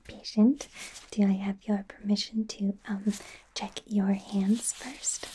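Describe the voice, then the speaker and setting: A woman's voice: mid-range voice, slight background noise, close to an everyday voice. A woman playing a nervous, friendly female werewolf doctor in a whispered ASMR roleplay, hesitant, stammering and apologetic. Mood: nervous, flustered. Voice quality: soft, breathy whisper.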